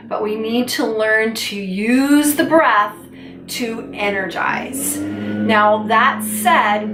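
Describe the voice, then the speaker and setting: A woman's voice: on the high side, far from the mic, background noise. A middle-aged woman and vocal coach, giving a friendly, encouraging singing lesson to camera in a small room at home. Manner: warm encouraging teacher, gestures with hands while explaining. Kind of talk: instructional video lesson. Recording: roomy sound, a little off-mic.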